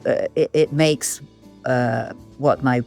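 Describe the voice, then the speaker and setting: A woman's voice: rumbling low, steady tone, slow, vocal fry, darkish tone, faint music behind. A middle-aged woman from Brazil, a designer and filmmaker, answering interview questions in a reflective, thinking-aloud way. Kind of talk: casual interview talk.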